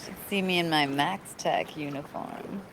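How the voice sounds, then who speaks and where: A woman's voice: low-pitched, measured pace, gravelly, background noise. A woman playing a playful, self-assured young tech tinkerer in a video game, talking in a casual, teasing, slangy way. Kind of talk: naturalistic video game acting.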